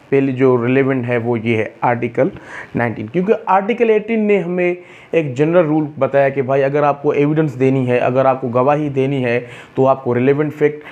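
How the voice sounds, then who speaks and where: A man with a Pakistani accent lecturing on law, reading out provisions and explaining them deliberately, repeating key phrases as he goes. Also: mid-range voice, dull audio.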